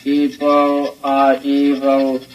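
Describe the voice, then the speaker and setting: An actor playing a childlike robot with a grinding mechanical voice and simple phrasing in an old radio drama. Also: male voice, medium pitch, completely flat delivery, drawn-out words. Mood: obedient. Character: childlike robot with a six-year-old mind, obedient, coldly menacing. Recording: dull old radio recording.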